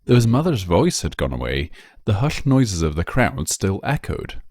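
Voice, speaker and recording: Deep-voiced man narrating a story. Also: very animated, moderate pace, darkish tone, no echo, studio quality. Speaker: narrator, fan fiction reader.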